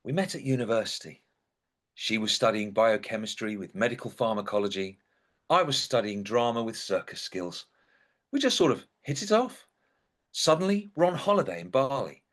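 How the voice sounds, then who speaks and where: A man's voice: medium pitch, lively intonation, moderate pace, a bit bright, close to an everyday voice. An actor playing an indignant, sardonic dead young man who narrates a comic stage monologue in a wry, theatrical way. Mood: wistful.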